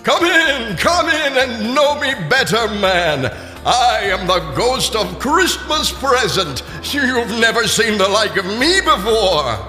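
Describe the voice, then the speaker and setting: A man's voice: very high-pitched, sing-song, slow, bright, forceful tone, faint music behind, pitched above the performer's own voice, full character voice. A man playing a jolly giant spirit in a radio drama, grand and declamatory, with long reverb on the voice. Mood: jovial, solemn. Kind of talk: radio theater acting. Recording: long echoing hall reverb.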